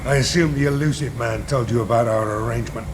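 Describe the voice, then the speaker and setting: Casting: male actor as hard-bitten older gun for hire, rough voice, clipped menace, video game, clear background hum. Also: medium pitch, fast, lightly acted. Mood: matter-of-fact. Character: gruff, hard-bitten older male mercenary, cynical and ruthless.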